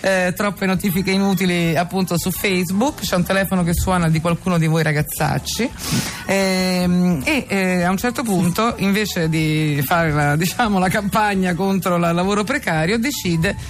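A woman's voice: fairly low pitch, moderate pace, faint music behind. A woman hosting a radio interview in a casual, chatty style, playful and teasing with her guests. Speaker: radio host. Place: radio studio.

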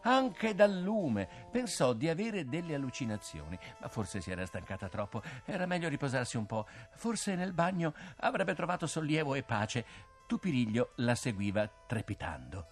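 An older male storyteller with an expressive reading voice. His pitch swings widely as he tells the tale, over faint background music. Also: normal speed. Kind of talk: scripted fairy-tale narration.

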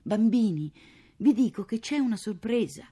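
A woman's voice: high voice, big pitch swings, fast talker, vocal fry, darkish tone, pitched above the performer's own voice, heavily acted. A woman voicing a flustered, henpecked father who stammers, repeats himself and blusters, in a dramatic story reading. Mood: stammering.

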